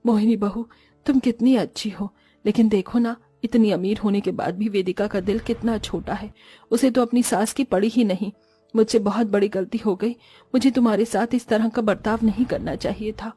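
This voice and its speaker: A woman voicing a stingy, middle-aged Indian-family mother-in-law who speaks low, soft and weary. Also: fairly even intonation, normal speed, husky, soft background music, natural voice. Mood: rueful.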